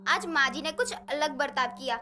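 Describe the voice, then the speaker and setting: A woman voicing a kind young tenant daughter-in-law in a storybook tale, over soft background music. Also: sits high, normal speed. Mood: earnest.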